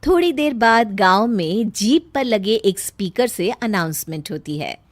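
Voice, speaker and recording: A woman's voice: mid-range voice, animated, a little slow, noisy background. A woman reading a scripted children's story as its narrator.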